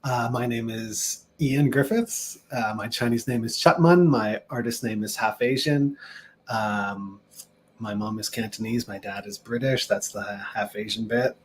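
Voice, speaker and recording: A man's voice: mid-range voice, measured pace, slight background noise. Guy musician on a podcast, mid voice, kinda dry and matter of fact. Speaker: musician guest. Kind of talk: casual interview chat.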